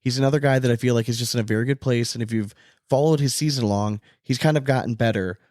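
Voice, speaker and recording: A man's voice: medium pitch, fast talker, a little mellow, dry recording, clean studio sound. A man chatting casually as the host of a sports podcast.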